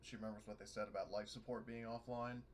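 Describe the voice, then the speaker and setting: A man's voice: on the low side, fairly even intonation, fast talker, slight background noise. A man playing a tabletop game, talking casually in a tentative, questioning way.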